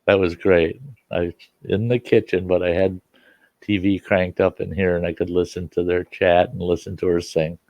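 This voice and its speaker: A man's voice: deep voice, flat delivery, normal speed, darkish tone, no echo, lo-fi sound. An older man with a slightly stuffy nose, chatting and reminiscing in a folksy, rambling way as he hosts a casual livestream from home. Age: 60s or older. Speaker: livestream host and storyteller. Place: indoors at home.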